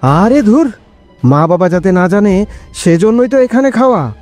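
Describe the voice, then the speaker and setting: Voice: mid-range voice, animated, normal speed, darkish tone, lightly acted. A performer voicing a pushy young boy who secretly smokes and coaxes his friend in a cartoon. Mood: coaxing, boastful. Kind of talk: cartoon voice acting. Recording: music behind the voice. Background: background music.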